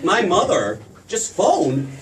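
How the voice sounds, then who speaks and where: A man's voice: sits high, huge pitch swings, slow, background noise, exaggerated voice. An actor playing an awkward, stubborn young small-town man with stammering, folksy hesitations in an old-time radio play. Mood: awkward, defensive. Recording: distant stage mic.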